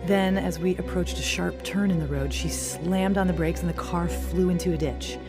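A woman's voice: lowish voice, fairly even intonation, moderate pace, music in the background, natural voice. An actress playing a troubled young woman telling of her wild past in an audio drama. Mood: tense recollection. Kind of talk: dramatized first-person narration and acting.

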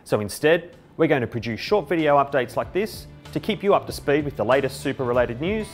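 A man's voice: mid-range voice, animated, brisk. Middle-aged Australian man presenting with music behind. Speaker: company presenter. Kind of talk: scripted explainer to camera. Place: indoor office by a window. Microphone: lapel mic.

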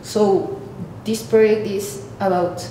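A woman in her thirties from Peru with a Peruvian Spanish accent, an artist explaining her work haltingly, searching for words. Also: steady tone, mellow. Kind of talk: explaining own artwork to camera. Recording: echoey room, clear rumbling background noise.

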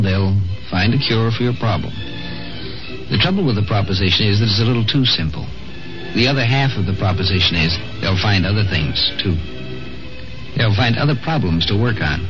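Old radio host guy, low voice, scripted commentary with music clearly behind. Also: fairly quick. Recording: muffled old radio recording.

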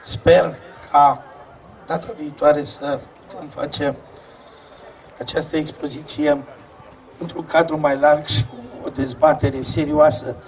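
Male official, higher-pitched strained voice, formal ceremony speech, distant outdoor pickup. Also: fairly even intonation. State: strained voice, apologised for. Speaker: local official giving a speech. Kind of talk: formal public address at an opening ceremony. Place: open-air fairground stage. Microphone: distant camera, speech over loudspeakers. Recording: distant outdoor PA, clear background noise.

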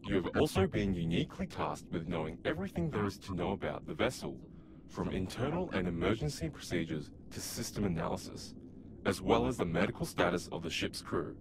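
Man acting a deep gravelly starship computer. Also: big pitch swings, background noise. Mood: calm, instructive. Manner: formal, measured, impersonal. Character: calm male starship control computer, procedural and commanding. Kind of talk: audio drama, system announcements.

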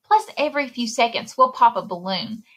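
A woman with a fairly high, slightly husky voice reads a children's picture book aloud. Her delivery is very animated and sing-song, full of exclamations. She talks straight to young listeners like a story time librarian. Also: brisk. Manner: expressive, exclaiming, addressing young listeners directly. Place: indoor room, recorded screen share with webcam.

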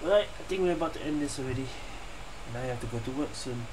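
A woman streaming a game, giving casual, low-key commentary in short reactions. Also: quick pace, heavy background noise. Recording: loud game music behind voice. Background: game music.

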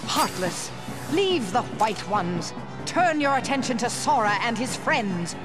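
A woman's voice: a little high, animated, unhurried. A woman voicing a haughty, commanding evil sorceress in a video game, theatrical and scornful. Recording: loud dramatic game music behind.

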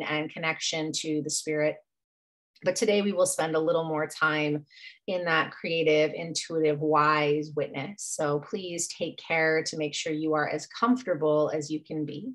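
A woman guiding a meditation as a yoga nidra teacher, soothing and unhurried, leaving long pauses between phrases. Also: sits low, fairly bright tone. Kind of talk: guided meditation.

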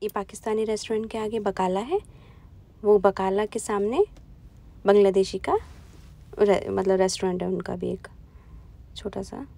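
A woman's voice: medium pitch, gravelly. A woman casually chatting to camera in a walking vlog, pointing out places around her neighborhood as she goes. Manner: chatty, plainly pointing things out while walking. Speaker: vlogger. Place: outdoors on city streets. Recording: noisy street with traffic.

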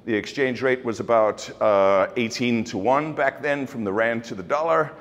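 A man's voice: mid-range voice, normal speed. A middle-aged man from South Africa with a South African accent, giving a casual keynote talk with certainty and lots of fillers. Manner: certain, blunt, many fillers and restarts. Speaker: keynote speaker. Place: large open office event space. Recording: long reverb in open room.

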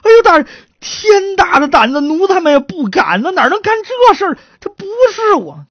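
A woman puts on a servile, talkative guard in an old-time tale. He pleads in a high, whining, stammering voice that swoops widely. The sound is close and dry, slightly muffled. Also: sing-song, moderate pace, fairly bright tone, no room sound. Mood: anxious pleading. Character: cowering, chatterbox male yamen guard pleading innocence.

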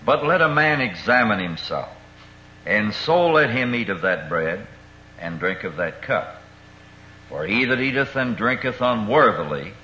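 A man reads scripture in church in a low, firm, forceful voice. The delivery is solemn, measured and formal. The old recording is dull with faint background noise. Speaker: minister reading scripture. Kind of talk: solemn Bible reading.

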